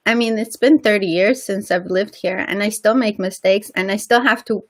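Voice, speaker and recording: A woman's voice: mid-range voice, moderate pace. A woman with a slight Persian accent, a guest on a casual interview, talking earnestly and often repeating words while she searches for them.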